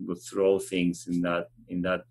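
This is a man with an Argentine accent and a low-pitched voice. He speaks as an interview guest, earnestly and thoughtfully, often pausing to find his next words. He sounds middle-aged and conversational. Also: monotone, a little mellow. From central Argentina. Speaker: animal shelter representative being interviewed.

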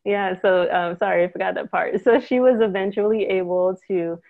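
A woman's voice: mid-range voice, normal speed, gravelly, dry recording, narrow, tinny audio. A woman from Trinidad telling her personal story as an interview guest, a naturopathic doctor, over thin call audio. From Trinidad, then the United States.

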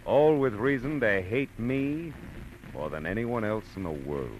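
An actor playing a weary, hard-boiled private detective, narrating in a brooding, clipped 1940s radio noir style. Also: male voice, on the low side, sing-song, a little slow, heavy background noise. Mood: ominous. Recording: old radio broadcast with music behind. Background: dramatic music.

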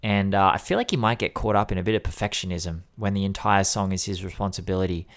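A man talking through an album on a podcast, earnest and analytical. His voice sits fairly low. He sounds enthusiastic, with a few fillers along the way. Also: quick pace. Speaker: podcast co-host. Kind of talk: album review discussion.

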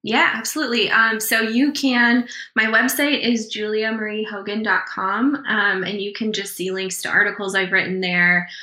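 A woman author and counselor on a podcast, friendly and relaxed, with frequent ums. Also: medium pitch, moderate pace, few pauses, roomy sound. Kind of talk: casual interview talk.

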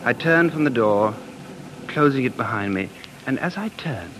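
A man acting as an earnest dreamer haunted by the past, with a mid-pitched voice and an intense, dramatic delivery. He sounds like a 1940s radio play narrator, with music in the old broadcast. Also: lively intonation, normal speed, lightly acted. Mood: hushed, suspenseful.

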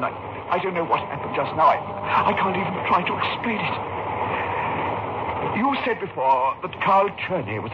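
An actor playing an anguished, obsessed surgeon with halting, melodramatic delivery in an old-time radio horror play. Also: male voice, high voice. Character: anguished, obsessed male surgeon gripped by a deadly prophecy. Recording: muffled, noisy old radio recording.

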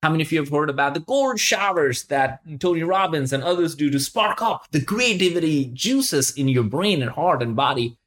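A male podcast host with a voice pitched a little high for a man. He speaks conversationally and with enthusiasm, in a motivational style. He stresses points by repeating words and often turns to the listener with a question. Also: lively intonation, moderate pace, few pauses, a bit bright. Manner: poses rhetorical questions to the listener.

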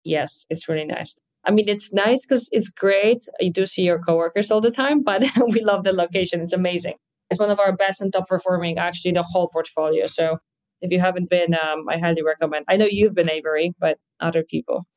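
A woman's voice: fairly low pitch, darkish tone. A woman in her thirties from Poland with a Polish accent, a business executive speaking as a podcast guest in an upbeat, candid way. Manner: upbeat, quick and candid with light laughs. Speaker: guest, fitness company executive. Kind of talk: podcast interview.

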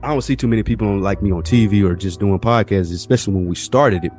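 A man's voice: on the low side, quick pace, a little mellow, faint music behind. A man co-hosting a podcast, talking casually in long, winding thoughts. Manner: laid-back, talks in long winding thoughts. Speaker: podcast co-host. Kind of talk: casual conversation.

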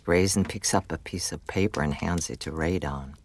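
A man narrating a scripted audio drama in the present tense, in a measured, dramatic storytelling manner. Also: low voice, background noise.

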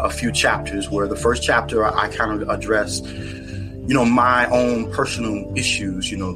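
American male author guest, lower voice, thoughtful and halting, over background music. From United States. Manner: earnest, reflective, halting. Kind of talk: podcast interview. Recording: music playing underneath.

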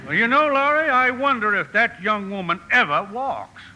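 An actor playing a gruff but kindly old grandfather, formal and wry, in 1950 radio drama style. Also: very high-pitched, big pitch swings, moderate pace, bright, forceful tone, full character voice. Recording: noisy vintage 1950 radio broadcast.

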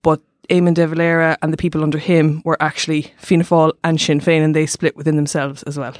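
A woman's voice: sits low, level tone, fast talker, a little mellow, dry recording, clean studio sound. A young woman hosting a podcast, chatting informally and often stopping to restart her sentences. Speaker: podcast host. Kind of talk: casual podcast chat.

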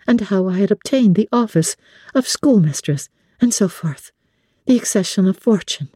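A woman reading classic literature as a dramatic scripted narration, in a measured, expressive, literary manner. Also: medium pitch, dry recording, clean studio sound. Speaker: audiobook narrator.

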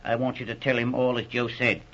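Husky, flustered, humble policeman. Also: medium pitch, flat delivery, brisk, a bit bright. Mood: earnest, deferential. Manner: hesitant, folksy, ungrammatical speech. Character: flustered, humble police subordinate, worried father. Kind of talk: old-time radio drama acting. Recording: muffled old 1940s radio recording.

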